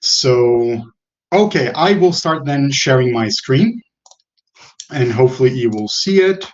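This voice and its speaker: A man from Brazil giving an online talk as the guest speaker, relaxed and often correcting himself as he goes. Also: medium pitch, a little slow, muffled recording. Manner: relaxed, self-correcting, a few laughs. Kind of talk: online presentation.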